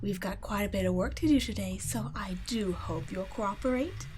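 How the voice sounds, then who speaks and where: A woman's voice: medium pitch, moderate pace, background noise, close to an everyday voice. A woman voicing a cool, condescending female vampire scientist in an ASMR audio roleplay, speaking in a teasing, patronizing way and slipping into whispers. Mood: mocking. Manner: teasing, patronizing, soft-spoken with whispered asides.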